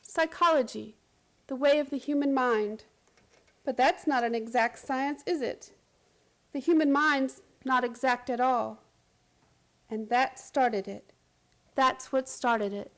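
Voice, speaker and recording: An actress playing an earnest, distraught schoolteacher in a radio drama, with a pleading, confiding intensity. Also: female voice, sits high, slow pace, long pauses, a bit bright, full character voice. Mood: confiding, wistful. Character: earnest, distraught female high school physics teacher pleading for help. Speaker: narrator and lead character of radio play.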